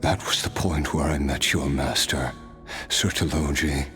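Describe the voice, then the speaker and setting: An actor playing a weary immortal man recounting his youth in a measured, wistful but resolute way for a video game. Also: a bass voice, fairly even intonation, sharp tone, soft background music, exaggerated voice. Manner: measured, unhurried. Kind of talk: game voice acting, reflective storytelling.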